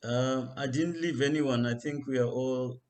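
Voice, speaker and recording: A man organizing an online session, softly calling on participants to introduce themselves, with hesitant fillers. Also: mid-range voice, measured pace.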